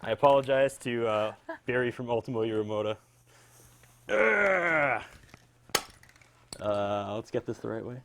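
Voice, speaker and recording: A man's voice: mid-range voice, animated, a little slow, long pauses, background noise. A man hosting a music show, interviewing a guest in an informal, chatty way. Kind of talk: casual on-camera music interview.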